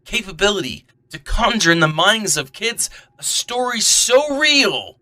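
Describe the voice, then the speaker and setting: Man as grandiose cartoon storyteller, bright tone, raised pitch, fussy, wordy. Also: animated, unhurried, heavily acted. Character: pompous bald male storyteller, fussy newcomer. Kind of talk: amateur comedic radio drama acting.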